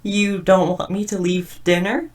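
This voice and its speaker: A woman's voice: medium pitch, little pitch movement, measured pace, a little mellow, far from the mic, close to an everyday voice. A woman playing a socially awkward, devoted but murderous robot house spouse in a roleplay audio drama, speaking in stiff, wordy, formal phrases. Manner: stiff, verbose, overly formal wording. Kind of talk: scripted roleplay audio drama addressed to listener.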